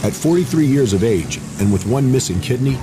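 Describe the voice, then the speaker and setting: A man's voice: mid-range voice, expressive, brisk, background noise. A man delivering scripted narration for a documentary.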